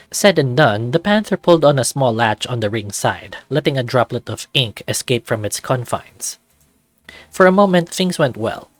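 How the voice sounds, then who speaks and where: A man's voice: mid-range voice, no echo. Man narrating a fantasy story over music. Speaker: narrator and voice reader of a visual novel. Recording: background music under the voice.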